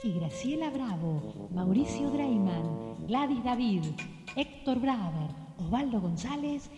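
Female credits announcer, high and unhurried, drenched in reverb over a clear music track. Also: lively intonation. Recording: long reverb with music behind.

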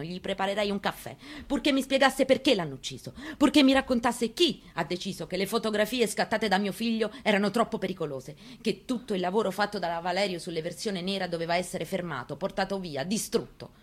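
A woman performing a dramatic monologue as a grieving, resolute elderly mother, in a deliberate, intimate manner. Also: medium pitch, lightly acted. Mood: aching, insistent. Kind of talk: dramatic monologue, theatrical reading.

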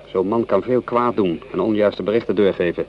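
A man acting a proud, gruff veteran lieutenant in a radio drama, older, commanding and indignant. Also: fairly low pitch, a little fast, natural voice. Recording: muffled old radio play recording.

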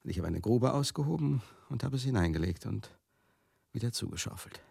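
An actor playing a world-weary man in a radio play, confiding a painful memory bitterly and tenderly in halting, repetitive, conversational phrases, with a husky voice that often drops to a murmur. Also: mid-range voice, animated, mellow, no room sound, clean studio sound, full character voice. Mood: tender, grieving. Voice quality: gravelly, husky, often dropping to a breathy murmur. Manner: halting, repetitive, conversational, addressing listeners directly. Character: Teddy, world-weary male touring companion recalling a tragedy, bitter and tender.

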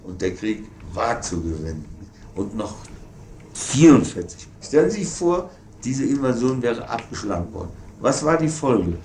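Embittered Nazi veteran in an echoey room. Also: male voice, mid-range voice, big pitch swings, normal speed, a little mellow. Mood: defiant. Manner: scornful, insistent, tags phrases with questioning interjections. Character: embittered former Nazi veteran, defiant and ranting. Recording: roomy echo, noisy ambience.

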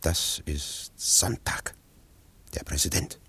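An actor playing a grave, discreet anarchist secretary in a radio play, speaking in a hushed, dry, measured manner. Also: male voice. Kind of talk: German radio play acting.

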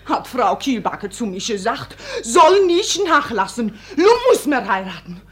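A woman's voice: on the high side, big pitch swings, a little slow, fairly bright tone. An actress playing a wronged, stubborn young servant mother in a stage drama, speaking broken German in plaintive outbursts. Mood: sullen, defiant. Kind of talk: theatrical naturalist stage drama acting.

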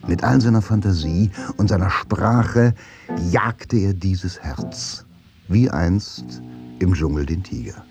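The brooding man who links the scenes of a late-night radio thriller, low and unhurried, dramatically intense. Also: a little mellow. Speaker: narrator of a radio play. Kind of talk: dramatic scripted narration.

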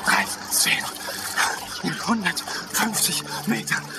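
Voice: mid-range voice, slow pace, vocal fry, very noisy. A performer voicing a child athlete who counts and mutters in fragments, in a radio drama. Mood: strained, muttering. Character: breathless child athlete counting laps, muttering game codes. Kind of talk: radio drama acting, inner monologue.

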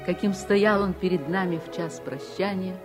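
A woman playing a grown narrator who recalls childhood, expressive and heartfelt, in a Soviet radio play. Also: on the low side, moderate pace, over music, close to an everyday voice. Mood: heartfelt, solemn.

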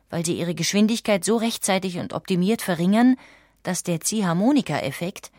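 Low-voiced female German documentary narrator. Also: a little mellow, no room sound, studio quality. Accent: standard German. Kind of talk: scripted documentary audiobook narration.

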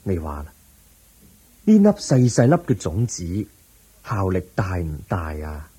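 A man acting a calm, patient, wise teacher in a radio drama, measured and gently instructive, with a Hong Kong Cantonese accent. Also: low voice, husky, heavily acted.

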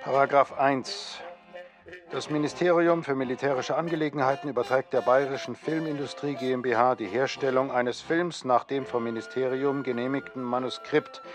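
Male document reader, medium pitch, measured official delivery, formal scripted style, soft music behind. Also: fairly even intonation.

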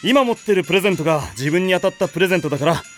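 A man's voice: high-pitched, animated, racing, a bit bright, soft background music. An actor playing a good-natured teenage boy team captain in an anime audio drama, casual, reassuring and in charge.

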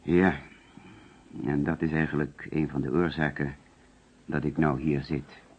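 A male insurance agent under suspicion in a radio drama. His voice is very deep and rough-edged, and he explains himself in a hesitant, defensive manner. The old recording sounds muffled. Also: flat delivery, measured pace, heavily acted. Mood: nervous, defensive. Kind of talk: radio play acting.

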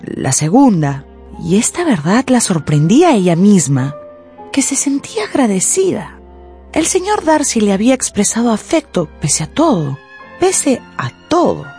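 A woman narrating a radio drama in a scripted, dramatic style, with deliberate, suspenseful phrasing. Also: medium pitch, sing-song, warm tone, soft background music.

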